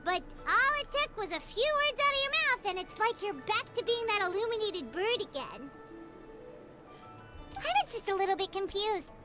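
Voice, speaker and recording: Super high little fairy kid, bouncy cheeky game sidekick, music behind. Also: female voice, expressive, moderate pace. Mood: puzzled. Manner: perky, speaks of herself in third person. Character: tiny excitable childlike fairy companion, cheeky and greedy. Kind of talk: scripted video game dialogue.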